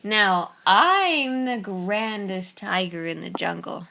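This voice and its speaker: A greedy storybook tiger brags in a slow, drawn-out, self-satisfied voice, as a woman puts him on while reading aloud. The tone is gravelly and a little lower than her own. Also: animated, background noise. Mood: boastful. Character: greedy, boastful male jungle tiger.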